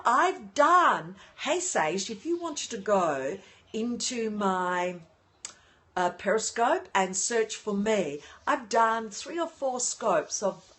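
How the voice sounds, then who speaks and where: An older woman hosting a casual livestream from her apartment on her phone, often pausing to greet and thank viewers. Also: medium pitch, a bit bright. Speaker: livestream host. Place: at home in an apartment.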